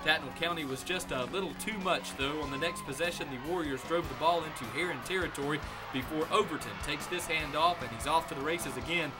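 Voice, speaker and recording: An American man narrates a scripted sports highlights reel. His voice is on the high side, with an emphatic announcer delivery. Music plays clearly behind the voice-over. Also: a little fast.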